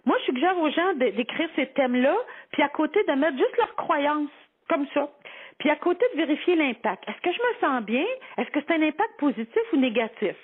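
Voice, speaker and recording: A woman's voice: sits high, animated, normal speed. A woman with a Quebec French accent giving a conversational psychology segment on the radio, explaining things informally with everyday examples and imagined quotes. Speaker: psychology columnist on a radio show. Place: radio broadcast. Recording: tinny, phone-like band-limited radio audio.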